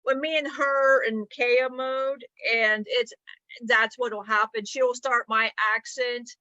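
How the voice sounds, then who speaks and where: A woman's voice: on the high side, fairly even intonation, takes it slowly, sharp tone, no room sound. A woman co-host speaking as a channeled being, rambling with frequent restarts in casual chat. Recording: dull lo-fi mic.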